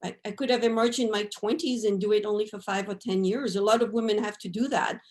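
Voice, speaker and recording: A woman, speaking at a middling pitch as an interview guest. She talks in a thoughtful, unhurried way, looking back over her life and tagging her points with "right?" and "you know". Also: steady tone, a little mellow. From France. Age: 50s. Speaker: guest artist. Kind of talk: reflective interview talk.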